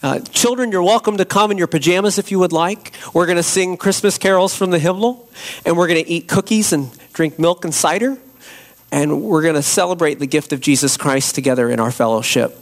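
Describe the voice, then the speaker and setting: Man, hoarse, pastor preaching in church, high-pitched, gravelly. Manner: earnest, slow emphatic preaching. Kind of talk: Christmas Eve sermon and prayer.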